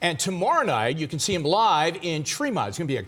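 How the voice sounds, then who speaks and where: Male news host, lively and varied intonation, slightly high register, conversational and quick to interject. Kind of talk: live news presenting and interviewing. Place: television studio.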